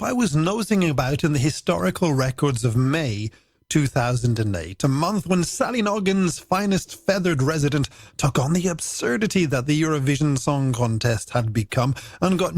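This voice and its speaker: A man's voice: on the high side, no room sound. An Irish man hosting a late-night radio music show, presenting in a scripted, playful style with florid, tongue-in-cheek wordplay over a music bed. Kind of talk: scripted radio presenting with games and listener messages.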